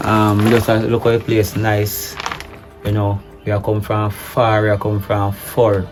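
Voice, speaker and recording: A man playing a hurt, betrayed husband, speaking low and measured, then forcefully. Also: monotone, soft background music, lightly acted. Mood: subdued. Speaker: husband in a domestic drama skit. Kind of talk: improvised-sounding skit acting.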